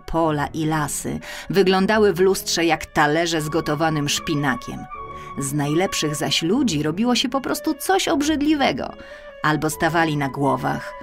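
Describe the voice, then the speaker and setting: A woman narrates a fairy tale for children in a slightly low voice. Her delivery is expressive and emphatic, lingering on key words. Faint music plays behind her. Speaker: audiobook narrator.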